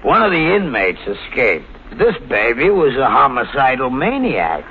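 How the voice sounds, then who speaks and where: An actor playing a sly, insinuating stranger with a casual, slangy, needling way of talking in a 1950s radio thriller. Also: male voice, mid-range voice, wildly swooping pitch, a little slow, breathy edge, exaggerated voice. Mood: sly, menacing. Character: sly, insinuating stranger, a blackmailing former asylum worker. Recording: dull, faintly hissy old radio recording.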